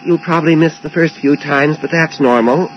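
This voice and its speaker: A man acting as a sinister dentist in a radio suspense play. He is callous and genteel, soothing in a condescending way while sounding quietly menacing. The sound is dull, with faint noise behind it. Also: on the high side, moderate pace, lightly acted. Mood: calm, patronising. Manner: genteel, matter-of-fact menace with condescending reassurance. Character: sinister, smoothly callous male dentist and mad scientist. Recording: dull, noisy old radio drama recording.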